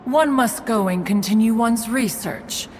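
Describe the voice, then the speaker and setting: A woman's voice: on the high side, a little slow, a little mellow, a little hiss or hum, close to an everyday voice. A woman voicing an ancient, reclusive spirit inventor who speaks in an archaic, formal way. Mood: stately. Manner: archaic formal speech, refers to self as one. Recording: game audio with reverb and music.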